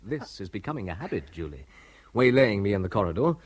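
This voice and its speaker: An actor playing a curious young man staying with relatives in a radio drama, speaking in a hushed, questioning way. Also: lowish voice, very animated, fast. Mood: wary. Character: young male visitor, curious and wary. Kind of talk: 1970s radio drama acting.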